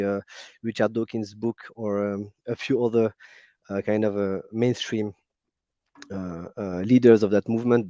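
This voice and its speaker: A man's voice: on the low side, mellow, no room sound. A man with a French accent, calling in to a stream and talking casually, with many fillers and restarts. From France. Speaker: caller. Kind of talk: casual call-in conversation. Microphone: video call. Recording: muffled lo-fi call audio.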